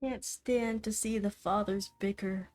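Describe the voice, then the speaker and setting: A woman's voice: medium pitch, steady tone, unhurried, a little mellow, soft background music. A woman streamer doing a casual playthrough, reading game dialogue and reacting to it.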